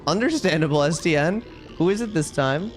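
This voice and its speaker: A man's voice: a little high, animated, brisk, faint music behind. A man livestreaming a video game, chatting casually with viewers and commenting on play in a wry, ranting way.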